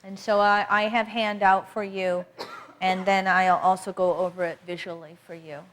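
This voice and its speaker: A woman teaching an astrology workshop in a loud, emphatic voice, repeating key points for her class. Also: medium pitch, flat delivery, normal speed, slight background noise, low-quality recording. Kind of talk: workshop lecture.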